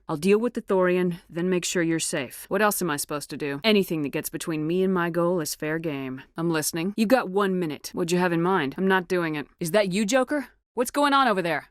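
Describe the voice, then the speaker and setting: A woman's voice: lowish voice, no room sound, studio quality, lightly acted. An actress playing a tough female starship commander in a video game, firm and authoritative, with clipped military delivery. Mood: urgent. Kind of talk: scripted video game dialogue acting.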